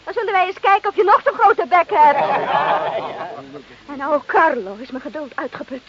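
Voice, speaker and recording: Hoge, verwijtende dorpsvrouw in hoorspel. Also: female voice, extremely high pitch, lively intonation, moderate pace, a crowd in the background. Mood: scolding. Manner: exclaiming, reproachful, dramatic. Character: exasperated, scolding village wife of a smuggler. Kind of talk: radio play acting. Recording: muffled, hissy old radio recording.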